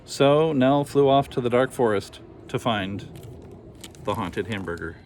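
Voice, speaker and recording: A man narrating a children's storybook in an expressive read-aloud style. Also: mid-range voice, measured pace, slight background noise. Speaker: storybook reader.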